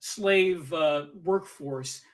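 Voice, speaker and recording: High-voiced man arguing methodically. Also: drawn-out words, a bit bright. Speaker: podcast co-host. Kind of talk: casual panel discussion.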